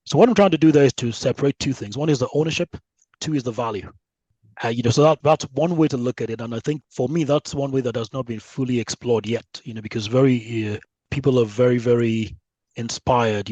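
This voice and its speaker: A man's voice: medium pitch, darkish tone, husky, no room sound, poor audio. A man with a Nigerian accent explaining his views as an interview guest, conversational, often checking in with the listener. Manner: conversational, often checks with "right?" and "you know". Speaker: guest researcher. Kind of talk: podcast interview, explaining with analogies.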